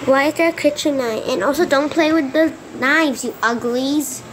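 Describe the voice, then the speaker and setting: A woman's voice: sits high, moderate pace, a bit bright, pitched below the performer's own voice. A woman voicing a curt, scornful cartoon grump in an amateur dub. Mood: scolding. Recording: noisy home recording.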